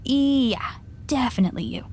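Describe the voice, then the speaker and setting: A woman's voice: sits high, animated, moderate pace, a little mellow, slight background noise. A woman playing a wry, teasing gym owner in a video game cutscene, speaking conversationally with dry sarcasm.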